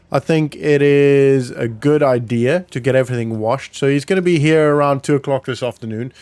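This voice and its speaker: A man's voice: mid-range voice, a little mellow, clean tone, no echo. A man giving casual, informal roleplay commentary as a gaming vlogger, thinking aloud as he goes. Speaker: gaming vlog host.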